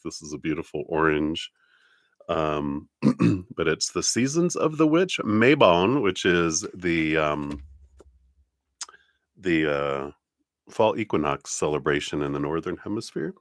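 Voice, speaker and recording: A low-pitched man with a congested, nasal sound talks to viewers in a friendly, meandering way, full of ums and uhs. The recording is dry and close, with no room around it. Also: very animated, measured pace, dry recording. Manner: gentle, friendly, meandering with many fillers. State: congested, nasal from a stuffy nose. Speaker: tarot reader hosting a live stream. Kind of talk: casual live-stream talk to viewers.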